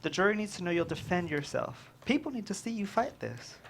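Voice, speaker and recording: A woman playing an urgent, dramatic trial consultant in a script table read, forceful and clipped. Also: on the low side, very animated, fairly quick, gravelly, a little hiss or hum. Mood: urgent, insistent.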